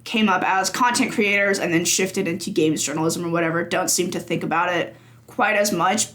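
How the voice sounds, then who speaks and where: A woman's voice: on the low side, a little fast, fairly bright tone. A young woman guesting on a games podcast, speaking casually and thinking aloud with plenty of hedging. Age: young adult. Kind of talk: casual interview chat.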